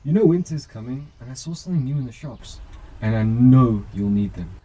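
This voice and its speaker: A man's voice: medium pitch, lively intonation, brisk, dark tone, breathy edge, poor audio. A man acting a caring, dutiful police officer in an amateur radio drama. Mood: kindly.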